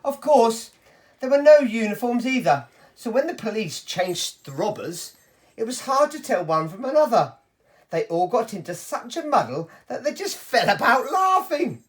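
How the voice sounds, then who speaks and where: A woman's voice: a little high, big pitch swings, moderate pace. A woman reading a story to children in a theatrical, playful and emphatic way.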